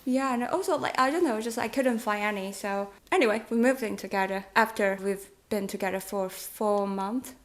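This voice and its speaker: A woman's voice: mid-range voice, moderate pace, few pauses, slight background noise. The giggly, hesitant thirty-year-old Taiwanese woman you would hear on a lifestyle channel, a native Mandarin speaker casually telling viewers about her life. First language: Mandarin Chinese. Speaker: vlog host. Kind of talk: casual relationship chat.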